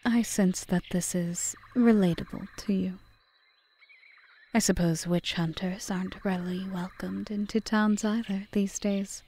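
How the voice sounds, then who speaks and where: A woman playing a composed, aggrieved witch in an ASMR roleplay, speaking formally and measuredly in a breathy half-whisper. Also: medium pitch, steady tone, unhurried, warm tone. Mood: dry, curious. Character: cool, bargaining yandere witch, aggrieved yet composed. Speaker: audio roleplay performer.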